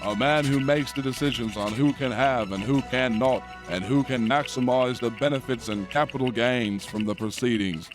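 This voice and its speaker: A medium-pitched, solemn newscaster in a satirical audio drama, acted by a man. The delivery is measured and declamatory with mock seriousness, over clearly audible music. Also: natural voice. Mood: smoothly reassuring. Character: solemn male newscaster reporting for the establishment. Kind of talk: parody news reading in audio play.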